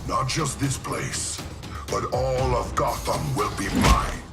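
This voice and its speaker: An actor playing a hulking male villain who gloats and threatens slowly, with game music behind. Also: mid-range voice, vocal fry, distant mic. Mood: gloating. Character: menacing brutish male villain, hulking strongman.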